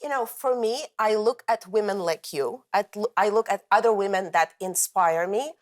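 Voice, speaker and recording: A middle-aged woman from the former Soviet Union speaks as a guest in a television interview. She talks with passion and tells her story vividly, her voice at an ordinary middle pitch. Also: normal speed. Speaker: interview guest, corporate coaching leader. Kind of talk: conversational interview.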